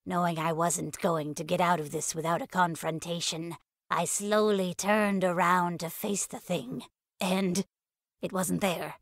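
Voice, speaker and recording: A woman's voice: lowish voice, a bit bright, no echo, studio quality. A woman reading scripted first-person fiction as a storyteller. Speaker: narrator.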